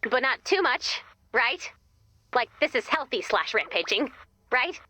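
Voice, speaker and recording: High, peppy team leader. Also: female voice, big pitch swings, measured pace, sharp tone, no echo, exaggerated voice. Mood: worried. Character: exasperated, peppy young female team leader. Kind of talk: scripted video game voice acting.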